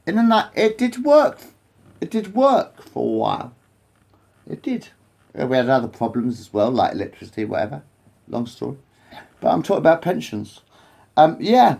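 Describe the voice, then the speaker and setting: Rambling, lively man. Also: a little high, creaky. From England. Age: 40s. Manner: repetitive, swears often, digresses. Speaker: vlogger. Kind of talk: rambling monologue to camera.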